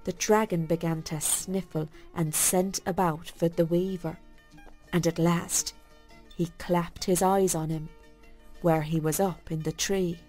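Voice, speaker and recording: A woman narrating a bedtime story for children in a folksy, expressive storytelling manner. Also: on the low side, unhurried, soft background music.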